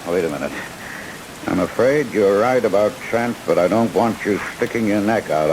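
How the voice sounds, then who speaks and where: Hot-tempered hospital chief, brusque, emphatic, swooping pitch, old radio drama, audible hiss. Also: male voice. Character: controlled senior surgeon turned raging, gruff boss. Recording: hissy old radio broadcast recording.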